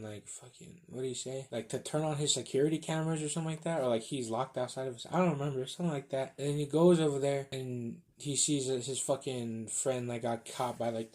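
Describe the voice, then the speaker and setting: A young man with a middling pitch talks as one of a podcast's hosts. His delivery is loose and conversational, full of slang and casual swearing. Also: darkish tone. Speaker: podcast host. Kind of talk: casual chat among friends.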